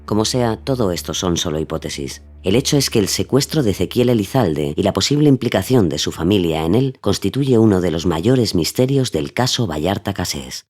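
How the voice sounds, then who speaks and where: Lively low-pitched male documentary narrator. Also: a little fast, hardly any pauses. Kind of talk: scripted documentary drama narration.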